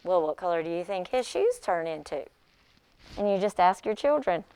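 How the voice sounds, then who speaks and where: A woman who teaches pre-K, demonstrating an interactive read-aloud in an expressive manner, as if speaking to young children. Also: on the low side, normal speed, a little hiss or hum.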